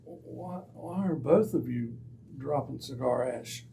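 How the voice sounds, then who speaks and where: A man playing an earnest, slightly hesitant gentleman sidekick to a detective in an old-time radio drama, given to old-fashioned exclamations. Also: medium pitch, slow pace, vocal fry. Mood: drowsy, befuddled.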